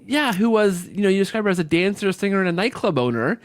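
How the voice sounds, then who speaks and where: A man hosting a conversational interview podcast, who rephrases haltingly as he goes and often tags his points with a question seeking agreement. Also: sits high, sing-song, dry recording. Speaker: podcast host.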